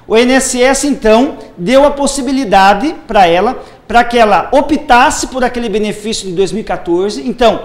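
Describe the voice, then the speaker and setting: A man's voice: extremely high pitch, normal speed, hardly any pauses. A man working as a social security lawyer, methodically explaining pension rules step by step on a live TV program. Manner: methodical, lays out cases step by step.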